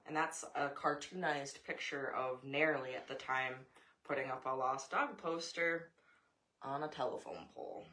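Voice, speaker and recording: A woman's voice: rumbling low, expressive, moderate pace, bright, forceful tone. An American woman reads a children's picture book aloud, adding informal asides to a young child. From United States. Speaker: storybook reader, mother.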